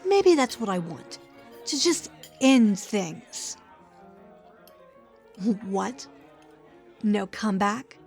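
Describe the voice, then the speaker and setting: A nervy, worn-out young woman, played by an actress, tense and muttering, slow, faint music behind. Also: medium pitch, a little mellow. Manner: tense, weary, muttering to herself. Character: anxious young woman fighting her inner voices. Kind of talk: radio play acting.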